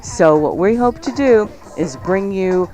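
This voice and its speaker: A woman's voice: medium pitch, expressive, a little slow, warm tone, faint music behind. A woman hosting a talk radio show, presenting segments and reading sponsor copy.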